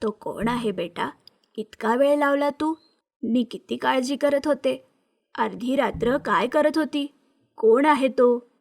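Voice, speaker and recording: Caring, anxious older mother of a grown daughter, played by a woman narrator, for an animated tale. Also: sits high, slow, vocal fry, heavily acted. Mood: worried, questioning.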